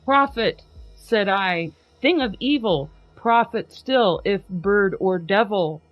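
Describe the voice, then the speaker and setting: A woman hosting a podcast, giving a slow, emphatic dramatic reading of a poem over a video call. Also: medium pitch, animated, dry recording, lo-fi sound, soft background music. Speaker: podcast host.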